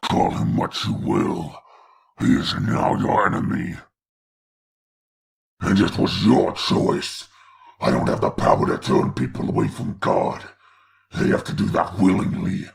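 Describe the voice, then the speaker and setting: A man voicing an imperious male demon lord of hell in a fan comic dub, raspy and menacing in slow speeches. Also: big pitch swings, exaggerated voice. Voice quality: deep, raspy.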